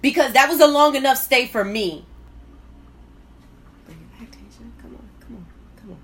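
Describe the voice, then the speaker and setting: A woman telling a personal story casually and candidly, blunt and profane, with lots of slang and animated acting-out of her reactions. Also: high voice, normal speed, breathy edge. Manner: animated, acts out quoted reactions. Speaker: storyteller hosting own vlog.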